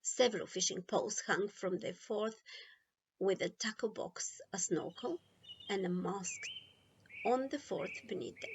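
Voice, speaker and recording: A woman reading a children's picture book aloud as an expressive storytime narrator. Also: medium pitch, highs cut off. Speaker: storytime reader. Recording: clear background noise.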